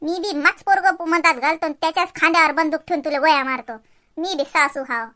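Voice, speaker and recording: Woman voicing a shrill, boastful cartoon village mother-in-law. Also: fairly even intonation, quick pace, pushed and bright. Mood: indignant, threatening. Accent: Varhadi Marathi from Vidarbha. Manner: scolding, ranting with rhetorical questions. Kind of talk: exaggerated cartoon comedy acting.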